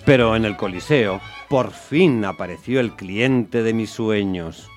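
A man's voice: fairly low pitch, takes it slowly. An actor playing a weary, put-upon working-class taxi driver who tells his story in a colloquial, grumbling way in a radio drama. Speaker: first-person narrator and lead actor. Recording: music under the voice. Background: music.

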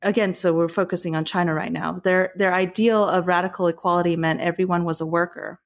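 A woman's voice: fairly low pitch, fairly even intonation, a little fast. A woman from Taiwan with a Taiwanese accent, a filmmaker guest on a podcast, explaining thoughtfully and sometimes restarting mid-phrase. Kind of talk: podcast discussion.

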